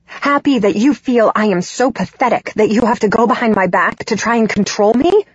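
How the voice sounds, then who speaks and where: A defiant, emotional young woman from an audiobook drama, acted out by a female narrator. Her voice is husky, recorded very dry and slightly muffled. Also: medium pitch, animated, a little slow, no room sound. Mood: indignant, hurt. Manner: emotional, indignant outbursts. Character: upset, defiant young woman standing up to parents.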